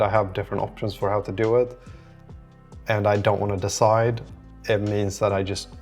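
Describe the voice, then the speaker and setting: A man with a fairly low voice talks casually to his vlog audience as he works. He rambles reflectively, circling his thoughts and letting some trail off. Soft music plays faintly behind him. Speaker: app developer documenting his work on a vlog. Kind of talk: casual thinking-aloud vlog.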